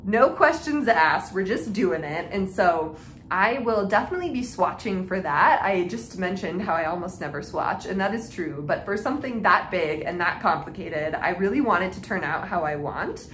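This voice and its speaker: A woman's voice: lowish voice, expressive, moderate pace, fairly bright tone. A woman hosting a craft podcast, chatting informally to her viewers with frequent filler "like" and self-interruptions. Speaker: knitting and reading podcast host. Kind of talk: casual chat to viewers. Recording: echoey room with faint background noise.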